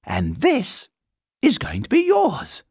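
Storybook young girl played by a narrator: high, very animated, friendly, chatty, eager to reassure. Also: a little slow, breathy edge, no echo, studio quality, heavily acted.

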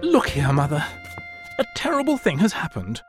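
A woman voicing an impatient, petulant, bossy gentleman professor in a dramatised storybook, exasperated and indignant. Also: deep voice, huge pitch swings, moderate pace. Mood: upset, impatient. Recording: music behind the voice.

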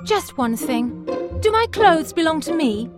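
A man reading a story aloud and voicing a young woman who is upset and defiant, in a high, pleading tone. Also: sing-song, unhurried, music in the background, full character voice. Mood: sad firm. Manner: shrill, pleading.

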